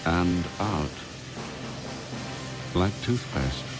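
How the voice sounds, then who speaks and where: A man's voice: sits low, animated, drawn-out words. A man reading dramatic scripted narration as the narrator of a story. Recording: clear music under the narration.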